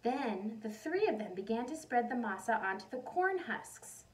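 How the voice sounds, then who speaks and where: Higher-voiced woman reading aloud to children, slightly distant. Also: normal speed. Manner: animated storytelling, addresses young listeners directly. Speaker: teacher reading a picture book aloud to children. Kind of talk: expressive children's read-aloud with asides and questions to the listeners. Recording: voice distant from the microphone.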